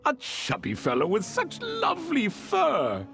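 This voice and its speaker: Slow, theatrical ancient spirit. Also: male voice, very high voice, wildly swooping pitch, vocal fry, music in the background, full character voice. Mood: wry, courtly. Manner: theatrical, refined, leisurely storytelling. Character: ancient cat-borne spirit, proud, wistful, wry male retainer. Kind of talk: video game character dialogue.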